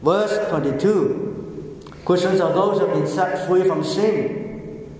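A man whose first language is Chinese, preaching a sermon slowly and repeating key phrases for emphasis, in an echoey hall. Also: sits high, a little mellow, slight background noise. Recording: echoey hall, heard from a distance.

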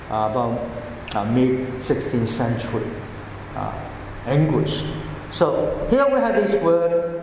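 A pastor with a Cantonese accent preaching a sermon, slowly explaining words one by one. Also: male voice, fairly high pitch, darkish tone, slight background noise. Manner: slow, deliberate, explaining word by word. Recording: echoey hall with faint ambience.

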